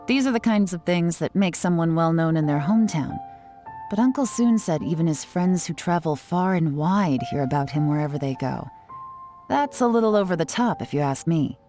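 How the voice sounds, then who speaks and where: An actress playing a poised, shrewd young woman investigator in a video game, measured and slightly theatrical, with courteous phrasing. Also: fairly low pitch, expressive, dark tone, soft background music, lightly acted. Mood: shrewd, skeptical. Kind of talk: scripted video game voice acting.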